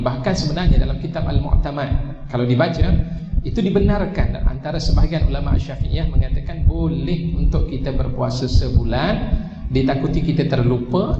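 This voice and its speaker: A man with a Malaysian Malay accent giving a lively religious lecture, asking the audience questions and answering them himself. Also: mid-range voice. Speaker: preacher. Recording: echoey hall, dull lo-fi sound with noise.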